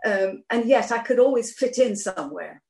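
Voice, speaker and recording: A woman's voice: fairly high pitch, animated, normal speed, creaky, dark tone. A middle-aged woman from Iran, a guest in an interview, talking hesitantly with many fillers and restarts. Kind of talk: conversational interview. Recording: roomy echo.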